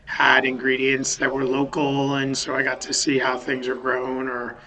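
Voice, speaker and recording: A man from Western Massachusetts, a company founder, talking over a video call in a thoughtful, halting way with many restarts. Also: mid-range voice, monotone, pushed and bright, poor audio. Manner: thoughtful, restarts sentences, many um and you know. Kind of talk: remote podcast interview. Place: home or office in a city.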